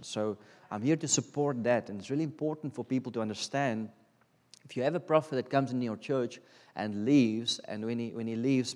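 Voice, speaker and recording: A man's voice: medium pitch, darkish tone. A man in his thirties with a South African accent, Afrikaans his first language, giving an informal sermon as a guest preacher, hesitant with many ums and restarts. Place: church sanctuary. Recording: reverberant church hall.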